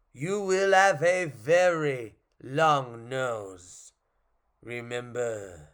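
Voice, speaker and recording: Man doing a grumpy French-accented clown puppet, drawn-out delivery. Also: high-pitched, a bit bright, voice pushed up, full character voice. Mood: urgent warning. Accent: put-on French. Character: grumpy, theatrical male Italian clown puppet magician.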